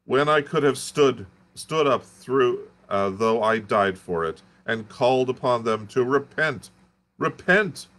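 A man's voice: mid-range voice, lively intonation, slow pace, a bit bright, voice pushed up. A man voicing a half-mad male curate who rants and wails like a preacher. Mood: lamenting. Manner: fervent wailing sermon-like raving.

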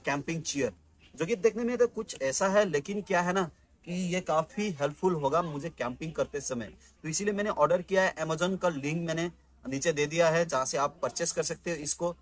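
Chatty man reviewing gear to camera. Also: fairly high pitch. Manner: chatty, many fillers and restarts, addresses viewers as guys. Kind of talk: casual product unboxing vlog. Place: indoors at home, later on an open rooftop outdoors.

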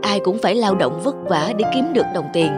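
A woman voicing a caring, principled mother in an animated moral tale, speaking in a firm but gentle way. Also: mid-range voice, a little fast, lightly acted. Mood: earnest. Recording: background music under the voice.

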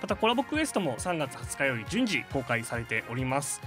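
Male game staff announcer: courteous, explanatory, fast, animated, music underneath. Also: mid-range voice. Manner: polite, formal, explanatory. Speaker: game operations staff member presenting updates. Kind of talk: polite scripted announcements with asides.

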